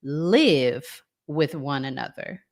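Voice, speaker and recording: Slow-paced American woman, casual co-host. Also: low-pitched, expressive, darkish tone. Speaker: talk show co-host. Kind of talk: casual relationship chat, reading out listener comments.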